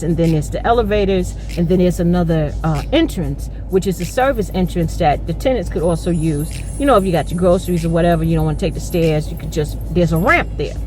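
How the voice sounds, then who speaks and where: A woman vlogger telling a personal story in an animated, casual way, acting out what each person said, recorded on a phone camera. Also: mid-range voice. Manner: acts out each person's lines. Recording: music under phone camera voice. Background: upbeat background music.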